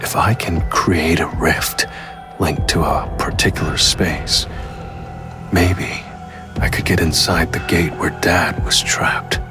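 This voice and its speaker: Very deep, brooding young hunter. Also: male voice, steady tone. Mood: brooding, resolved. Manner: measured, low-key, serious. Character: calm, resolute young male hunter, brooding protector of his family. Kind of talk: dramatic game voice acting, inner monologue and dialogue. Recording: loud background music under the voice.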